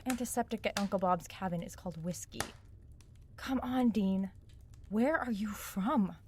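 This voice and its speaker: A woman's voice: medium pitch, normal speed, close to an everyday voice. An actress as a wry young woman, noisy recording. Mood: wry, weary. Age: young adult. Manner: wry, probing, sardonic. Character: sharp, sarcastic, resourceful young woman pressing for answers. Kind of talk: naturalistic audio drama acting.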